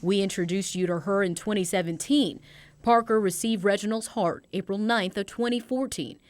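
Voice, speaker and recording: A woman news reporter narrates a scripted story. Her voice sits at an average pitch for a woman, with the structured phrasing of a television report. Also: normal speed, no room sound.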